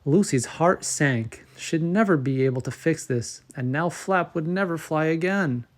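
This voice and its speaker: A man narrating a children's storybook as host, in a measured, expressive read-aloud manner. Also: on the high side. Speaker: storybook reader and channel host.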